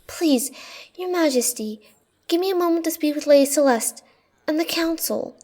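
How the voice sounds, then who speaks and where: A woman's voice: high-pitched, lively intonation, normal speed, dark tone. A woman playing an anxious, deferential royal adviser in a fantasy audio roleplay, cautious and pleading in her delivery.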